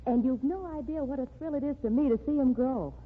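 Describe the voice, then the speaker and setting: A brisk, insistent woman writer speaks in a somewhat high, husky voice. The sound is thin and tinny, like an old radio broadcast. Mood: defiant. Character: determined woman writer, devoted schemer. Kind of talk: 1940s radio drama acting.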